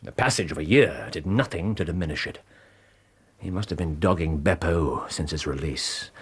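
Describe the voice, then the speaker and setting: A man's voice: deep voice, normal speed, slightly rough. An actor playing a brilliant, brooding English detective in a British radio drama, precise and theatrical in his speech. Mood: grave regret.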